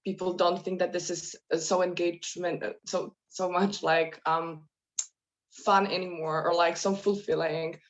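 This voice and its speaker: A woman from Poland moderating an online panel, speaking haltingly and searching for words. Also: lowish voice, flat delivery, poor audio.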